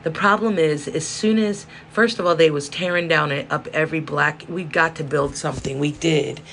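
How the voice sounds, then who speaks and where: Hoarse woman podcaster from Los Angeles, rambling and chatty, faint heater hum behind her. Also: sits low, steady tone, normal speed, fairly bright tone. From South Central Los Angeles. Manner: rambling, chatty, self-interrupting with laughs. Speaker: podcast host. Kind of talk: casual solo podcast monologue. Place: indoor room with space heater. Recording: faint background whirring noise.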